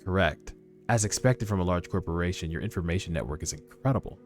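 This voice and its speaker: A man's voice: deep voice, quick pace, lightly acted. A man voicing a coolly confident young rookie hunter, reserved and self-assured, in a webtoon read-aloud. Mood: cool, composed. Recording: background music under voice.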